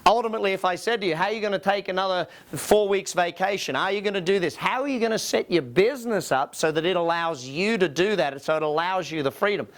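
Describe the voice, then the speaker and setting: Higher-voiced Australian man presenting a seminar. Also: fast, hardly any pauses, a bit bright. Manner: emphatic, rhetorical questions to listeners. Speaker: business coach and company founder, presenting. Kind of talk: motivational seminar presentation to an audience.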